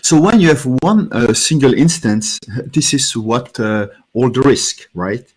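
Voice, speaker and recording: A man's voice: mid-range voice, lively intonation, measured pace, husky. A man from Belgium, a native French speaker with a French-speaking Belgian accent, giving a technical conference talk with many fillers and restarts.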